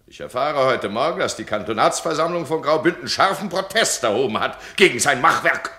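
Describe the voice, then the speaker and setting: An actor playing a domineering duke who scolds his subject in a radio play, his voice swinging between cold and loud. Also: on the high side, expressive, a little fast. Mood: imperious. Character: domineering stern duke, absolute ruler. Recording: roomy radio drama studio.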